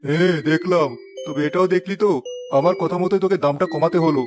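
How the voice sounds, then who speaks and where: Man voicing a patient, principled weaver father, quick-talking. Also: sits high, fairly even intonation, music in the background, close to an everyday voice. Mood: admonishing. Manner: admonishing, polite, measured advice-giving. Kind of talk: moral-story cartoon dialogue.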